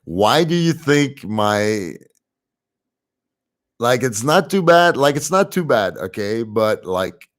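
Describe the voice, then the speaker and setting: A man's voice: medium pitch, slow pace. A young man with a French accent, speaking English as a second language, casually chatting on a livestream and reading comments aloud with easy laughs. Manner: relaxed and chatty, often laughing softly. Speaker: livestream host reading chat.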